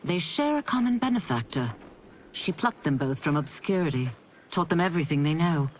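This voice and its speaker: An actress playing a repentant demon warrior in a video game, solemn and resolute. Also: female voice, low-pitched, animated, normal speed, slight background noise. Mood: solemn, earnest.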